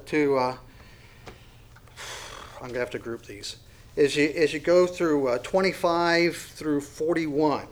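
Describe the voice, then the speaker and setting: A man's voice: medium pitch, fairly bright tone. A man in his sixties teaching a Bible study in a folksy, self-deprecating way, with many fillers and restarts. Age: 60s. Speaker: pastor.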